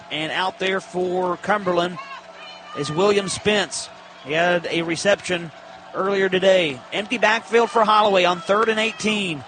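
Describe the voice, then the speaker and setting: A man's voice: high voice, bright, forceful tone, slight background noise. A man calling college football on the radio as a play-by-play announcer, in short, clipped present-tense bursts.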